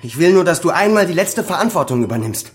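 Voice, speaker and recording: Bitter, desperate grown son. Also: male voice, fairly high pitch, big pitch swings, fast talker. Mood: accusing. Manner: intense, accusing, insistent. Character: bitter, desperate adult son confronting his father. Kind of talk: German radio crime drama acting.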